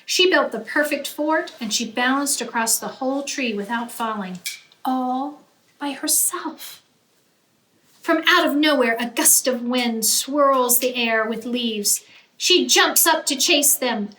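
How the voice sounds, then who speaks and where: High, bright woman reading a children's story. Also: slow. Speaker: teacher reading a picture book aloud. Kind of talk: expressive children's story narration. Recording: roomy echo, faint background noise.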